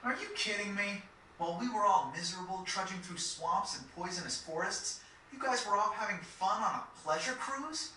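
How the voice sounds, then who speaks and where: A man's voice: high voice, expressive, normal speed, pushed and bright. A man voicing a cocky, plain-spoken teenage boy adventurer in a video game, casual and slangy. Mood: incredulous. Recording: very echoey, distant game capture with noise.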